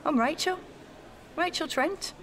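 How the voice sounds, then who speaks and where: A woman's voice: extremely high pitch, a little slow, fairly bright tone, slight background noise. An actress playing an eager, self-effacing young woman in a naturalistic audio drama, talking in a chatty, gushing way. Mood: excited.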